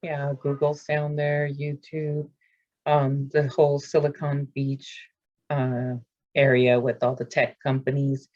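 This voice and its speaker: A woman's voice: deep voice, monotone, slow, a little mellow. Woman staffer rambling on about campus stuff, lots of ums, muffled lo-fi sound. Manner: rambling, filler-heavy, enthusiastic. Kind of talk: casual video-call chat.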